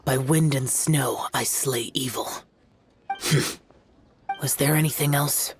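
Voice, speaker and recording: An actor playing a stern, solitary young guardian in a video game, speaking tersely and coldly. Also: male voice, medium pitch, steady tone, takes it slowly, background noise. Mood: solemn. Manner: terse, cold, quiet. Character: stern young male demon-hunting guardian, aloof and solitary.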